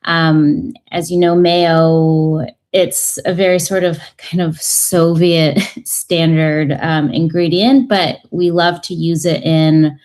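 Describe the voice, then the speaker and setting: A woman's voice: low-pitched, little pitch movement, takes it slowly, darkish tone. A woman in her thirties, a cookbook author guest on a radio show, talking in a thoughtful way with many ums and uhs. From United States. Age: 30s. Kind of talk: radio interview.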